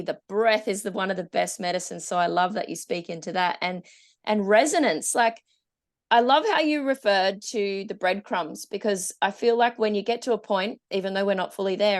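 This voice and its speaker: A woman hosting a podcast, talking in a casual, affirming and enthusiastic way. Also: medium pitch. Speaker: podcast host. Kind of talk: casual conversational interview.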